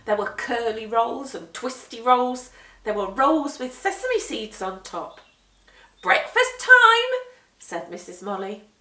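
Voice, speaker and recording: Animated woman storyteller in an echoey room. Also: a little high. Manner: deliberate, animated, emphatic storytelling. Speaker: storyteller reading aloud to children. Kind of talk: expressive children's picture-book read-aloud. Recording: roomy echo, distant microphone.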